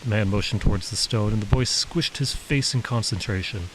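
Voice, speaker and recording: A man reading his own story as an author, in measured, descriptive scripted narration. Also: on the low side, poor audio. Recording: hissy background noise.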